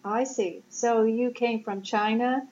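A woman's voice: on the high side, steady tone, takes it slowly, a little mellow. A woman hosting an interview show, asking questions in a conversational way. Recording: roomy background noise, dull lo-fi sound.

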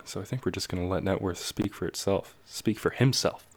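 A man with a very low, deep voice chats casually between tracks on his music show. He rambles and makes light of himself, keeping the talk loose and easy. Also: fairly quick, slightly rough. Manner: relaxed, rambling, self-joking. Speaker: radio show host.

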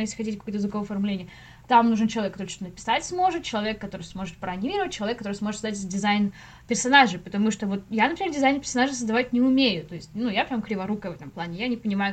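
A young woman, a podcast guest, explaining things in a casual, informal way and often thinking aloud with asides. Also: medium pitch.

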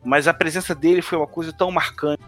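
A man's voice: a little high, fairly even intonation, fast, a bit bright, soft background music. Rambling Northeastern Brazilian man. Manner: rambling, many restarts. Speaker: tabletop game designer. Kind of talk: casual conversation on a live stream.

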